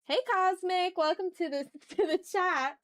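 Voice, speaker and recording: A woman's voice: very high-pitched, unhurried, a bit bright, no echo. A woman chatting casually and playfully with her viewers as she hosts a live art stream. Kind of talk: casual livestream chat while drawing.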